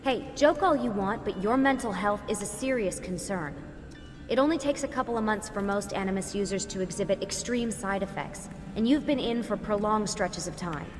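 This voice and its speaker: A woman's voice: mid-range voice, moderate pace, natural voice. An actress as a caring young woman, reverberant. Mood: concerned. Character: concerned young woman, level-headed modern team leader. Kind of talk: naturalistic game dialogue. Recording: reverberant room, faint music behind.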